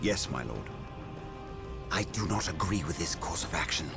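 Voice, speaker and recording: An actor playing a hotheaded space marine officer in a video game cutscene, impatient and urgent. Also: male voice, low voice, animated, normal speed, gravelly. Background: music.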